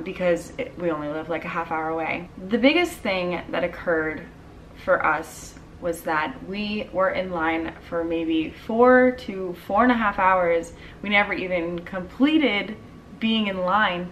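A woman's voice: on the low side, fairly bright tone, far from the mic, slight background noise, poor audio. A young woman in her twenties telling a casual storytime vlog at home, chatty with lots of "like" and "um". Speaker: vlogger. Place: dim living room at home.

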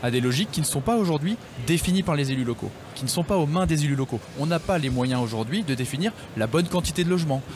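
A man's voice: fairly high pitch, expressive, fast talker. A man who is a mayor, answering a radio interview with firm, fluent arguments at a trade fair stand. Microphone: table radio mic with headphones. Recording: noisy trade fair hall.

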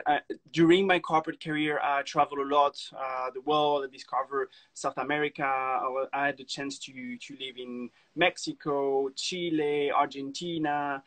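A man in his thirties from France with a French accent, a guest chatting on a video call, speaking in halting English with many restarts and small laughs. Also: on the high side, fairly bright tone. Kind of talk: casual interview chat. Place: indoors, wooden room. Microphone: phone camera on video call.

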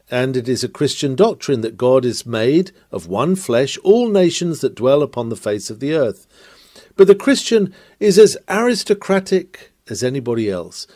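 A man reading an old-fashioned sermon aloud in an emphatic, declamatory manner with deliberate phrasing. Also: medium pitch, a little mellow, dry recording, clean studio sound. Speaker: sermon reader. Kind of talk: dramatic read-aloud of a 19th-century sermon.

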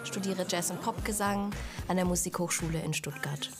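A woman in her twenties from Germany, a music student introducing herself softly on a TV show, with music behind her. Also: on the low side, moderate pace. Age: 20s. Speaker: contestant singer. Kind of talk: casual talk to camera.

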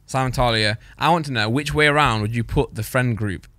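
A man's voice: mid-range voice, a little fast, bright, forceful tone, no echo. A man, hosting a podcast, jokey and eager to jump in. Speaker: podcast host. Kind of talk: casual chat. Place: podcast studio. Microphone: boom mic close up.

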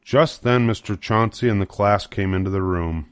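An older man with a low voice reads a children's storybook aloud. The recording is dry and close, with the narration carrying the story. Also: moderate pace, clear, resonant tone, dry recording. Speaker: storybook reader.

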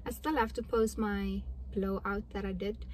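A woman's voice: medium pitch, moderate pace, a little hiss or hum. Chatty young woman in car. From South Africa. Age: young adult. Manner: chatty, rambling, animated hand gestures. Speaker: vlogger. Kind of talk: casual chat to camera. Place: parked car, indoors. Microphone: phone camera at arm's length.

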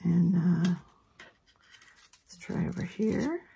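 A woman with a hoarse voice from a head cold, giving a relaxed, chatty craft tutorial at her work table. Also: fairly low pitch, takes it slowly. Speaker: craft instructor. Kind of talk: casual step-by-step tutorial.

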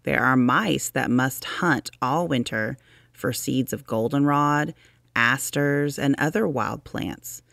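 Slow, deliberate children's book narrator. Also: medium pitch, gravelly, dry recording, clean studio sound. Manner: deliberate, expressive, with drawn-out emphasis. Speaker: narrator reading a children's science book aloud. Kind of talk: read-aloud storybook narration for children.

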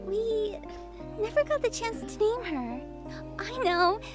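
A woman voicing Cornelia, a gentle, dreamy young girl who talks softly and sometimes whispers, heard as game audio with music behind. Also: extremely high pitch, sing-song, slow, exaggerated voice. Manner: soft, childlike, sometimes whispering. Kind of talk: voiced game dialogue.

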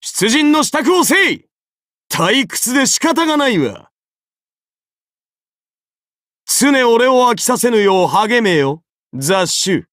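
Casting: an arrogant anime game king, imperious and condescending, slow, deliberate delivery, swinging widely in pitch. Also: very animated, a bit bright, no echo, studio quality, heavily acted. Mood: haughty, commanding. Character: arrogant golden-armored male king, haughty, easily bored. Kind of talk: dramatic anime game character voice acting.

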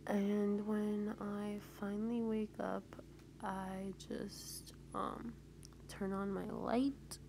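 A young woman narrating her morning routine in a casual, soft-spoken way while getting over a cold. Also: medium pitch, very slow, vocal fry, slight background noise. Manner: relaxed, soft-spoken, with light laughs. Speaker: vlogger. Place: bedroom at home.

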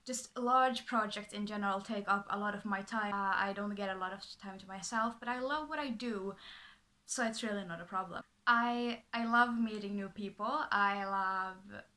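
A woman's voice: medium pitch, moderate pace, pushed and bright. A young woman from Finland with a Finland Swedish accent, native speaker of Swedish, chatting to camera in her small bedroom from a script, bubbly and full of fillers and restarts. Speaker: vlogger. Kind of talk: casual talking to camera, reading from a script.

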